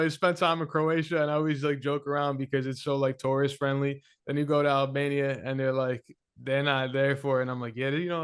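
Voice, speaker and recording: Relaxed male guest, candid storyteller, smooth voice. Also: medium pitch. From United States. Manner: laid-back, candid, even delivery. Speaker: guest musician. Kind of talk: casual podcast interview.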